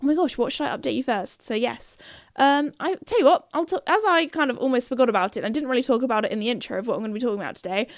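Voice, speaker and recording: Young woman hosting her podcast, rambling and chatty, a little croaky from a cold, with a higher-than-average voice. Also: very fast, no room sound, clean studio sound. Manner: rambling, jumps between topics, many fillers. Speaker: podcast host. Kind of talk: casual solo podcast chat.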